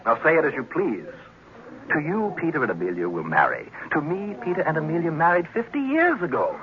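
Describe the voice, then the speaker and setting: A man's voice: fairly high pitch, wildly swooping pitch, fairly quick. A man acting in a 1950s radio drama as a smug, insistent young grandson from the future, lecturing theatrically. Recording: dull vintage radio recording.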